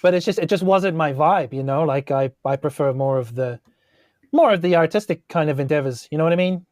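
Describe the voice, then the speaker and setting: A man's voice: on the high side, brisk, darkish tone, no echo, clean studio sound, close to an everyday voice. A man playing a small, centuries-old forest gnome sorcerer in a tabletop game, rambling and tentative, a little defensive. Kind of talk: improvised tabletop role-play.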